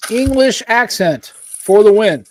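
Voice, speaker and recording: A man in his late forties, a podcast host chatting casually in a rambling, animated way and calling his co-host "dude.". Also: high-pitched, slow. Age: 40s. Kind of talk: casual chat.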